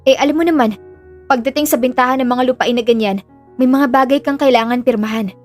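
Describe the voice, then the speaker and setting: A woman's voice: high voice, brisk, a little mellow, close to an everyday voice. A woman playing an earnest, burdened young woman in an audio drama, confiding in a subdued way with a Filipino accent. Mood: pleading, grateful. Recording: music under the voice.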